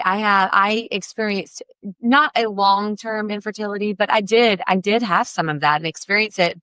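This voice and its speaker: A woman hosts a podcast, gushing with enthusiasm. Her voice sits at an ordinary pitch and is recorded close and dry. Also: a bit bright, smooth, no room sound. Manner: enthusiastic, effusive. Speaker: podcast host. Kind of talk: podcast interview.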